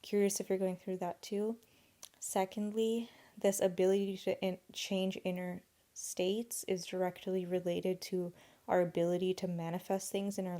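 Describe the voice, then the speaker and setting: A woman's voice: on the low side, warm tone. A young woman whose first language is Urdu, hosting a solo podcast and thinking aloud in an earnest, rambling way. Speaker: podcast host.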